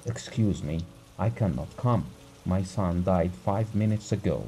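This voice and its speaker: A man's voice: deep voice, very animated, creaky, mellow, soft background music, heavily acted. A man reading aloud and voicing a grieving country doctor who speaks apathetically, dragging out each word. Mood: numb. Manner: apathetic, slow, dragging words.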